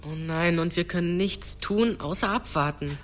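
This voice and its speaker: A man's voice: a little high, normal speed, fairly bright tone, natural voice. A young male actor playing an earnest, anxious boy, a responsible older brother, in a radio play. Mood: alarmed. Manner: earnest, urgent. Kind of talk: German radio play acting.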